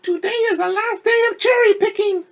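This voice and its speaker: A man voicing a cheerful female radio announcer in a very high falsetto, reading a storybook aloud. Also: moderate pace. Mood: cheery. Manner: very high falsetto, announcer-style sing-song.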